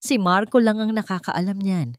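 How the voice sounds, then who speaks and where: A woman's voice: medium pitch, moderate pace, no echo, studio quality, pitched above the performer's own voice, natural voice. A woman playing a weary, wounded but determined young billionaire in a romantic drama story. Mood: grim determination.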